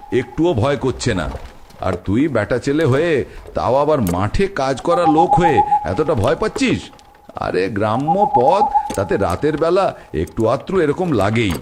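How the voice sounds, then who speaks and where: A man playing a fearless middle-aged village bullock-cart driver in an animated ghost story, scolding and boasting. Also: mid-range voice. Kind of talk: animated horror story voice acting. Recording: very noisy background with clicks.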